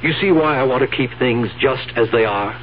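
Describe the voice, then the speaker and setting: Actor as a scheming nephew, dull radio recording. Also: male voice, mid-range voice, fairly quick, close to an everyday voice. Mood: calculating. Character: scheming, impatient nephew humouring his aunt. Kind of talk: 1970s radio drama acting. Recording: dull old radio recording, faint hum.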